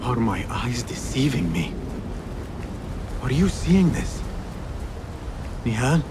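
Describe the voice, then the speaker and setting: A man acting a troubled, questioning young assassin in a video game, with a gravelly voice and a grave, deliberate delivery. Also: mid-range voice, very animated, background noise. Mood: worried, searching. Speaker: game character actor. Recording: loud music behind the voice.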